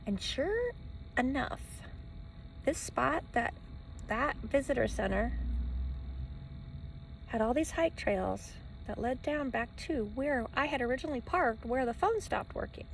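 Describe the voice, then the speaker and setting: A woman with a high voice full of fry talks in a loose personal vlog. Her delivery rambles, stops and restarts, circling back on phrases to stress them. She is recorded inside a parked van, with a faint wash of ocean noise behind her. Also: lively intonation, a little slow, pauses often, poor audio. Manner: stop-and-start, rambling, repeats phrases for emphasis. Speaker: travel vlogger living in a van. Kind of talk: rambling personal vlog, talking to camera and to a dog.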